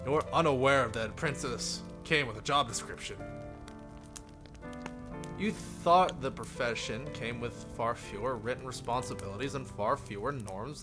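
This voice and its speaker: Man narrating a story with music behind. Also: medium pitch. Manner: amused, breaks into chuckles and side remarks. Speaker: streamer reading a visual novel aloud. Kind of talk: live narration of story text with casual asides.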